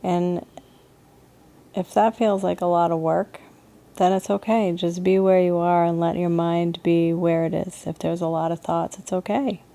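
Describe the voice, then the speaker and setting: A woman guides a meditation in a very dark, relaxed voice. Her delivery is very slow and nearly flat in melody, with gentle pauses between short phrases. She sounds like a soothing yoga teacher. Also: lowish voice.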